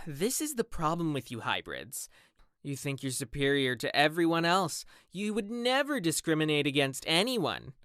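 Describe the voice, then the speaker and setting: A condescending, haughty interviewer from a supernatural audio drama, as an actor voices it. The voice sits at a middling pitch and swings up and down a great deal, dripping with dismissive superiority. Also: very animated, moderate pace, fairly bright tone, smooth, no echo, clean studio sound. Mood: offended, scornful. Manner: condescending, dismissive, swooping intonation.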